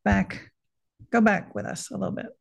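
A woman with a noticeably creaky, fry-edged voice hosts a podcast. She talks casually and conversationally as she leads an interview. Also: medium pitch, moderate pace. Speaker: podcast host.

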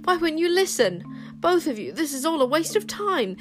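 A woman's voice: high-pitched, big pitch swings, moderate pace, faint music behind, pitched above the performer's own voice. A woman voicing an earnest, distressed young girl who pleads urgently, in an audiobook story.

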